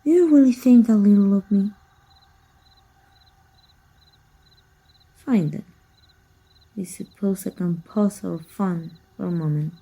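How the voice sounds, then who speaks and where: A woman playing a teasing, wistful and flirty female vampire hunter with a breathy, husky voice in an intimate ASMR roleplay. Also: medium pitch, poor audio. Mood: wry, coaxing. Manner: slow, teasing, softly taunting.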